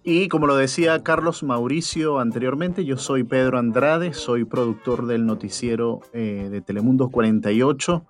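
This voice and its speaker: News producer; dry and teasing, mid-pitched, soft music underneath. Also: male voice, moderate pace, few pauses. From Venezuela. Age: 30s. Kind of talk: casual chat.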